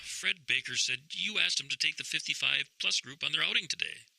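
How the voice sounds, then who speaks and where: An actor playing a fussy, concerned church colleague in an audio drama, with a folksy, fretful manner. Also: male voice, fairly high pitch, animated, brisk, creaky, bright, forceful tone, no room sound, heavily acted, poor audio.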